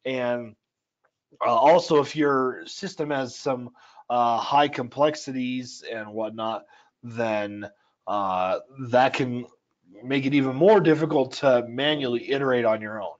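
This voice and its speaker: Dry-voiced man walking through software. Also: mid-range voice, unhurried, lo-fi sound. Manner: explanatory, conversational, with frequent fillers. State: dry, scratchy voice. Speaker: software trainer. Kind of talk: screen-share software tutorial. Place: home or office at altitude.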